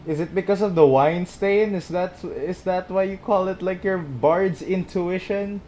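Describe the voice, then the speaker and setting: A woman's voice: lowish voice, unhurried, mellow, a little hiss or hum. A woman giving casual gameplay commentary, making laid-back asides to the characters. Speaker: gaming video creator.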